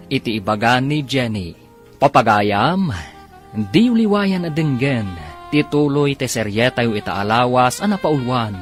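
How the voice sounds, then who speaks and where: A man with a Filipino accent narrating and announcing a radio drama in a theatrical, scripted style. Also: mid-range voice. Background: music under the voice.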